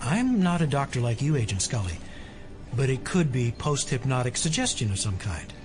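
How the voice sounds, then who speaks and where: A man acting as an earnest, brainy hacker in an audio drama. He explains carefully and technically at a medium pitch, over faint music. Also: sing-song, moderate pace, natural voice. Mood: focused.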